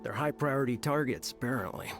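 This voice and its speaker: An actor playing a resigned army soldier in a video game, talking in a musing way. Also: male voice, medium pitch, animated, a little fast, gravelly. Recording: game music behind the voice.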